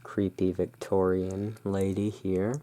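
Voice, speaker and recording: A person narrating in a soft, hushed, deliberate ASMR style while presenting cards as a host. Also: deep voice, level tone.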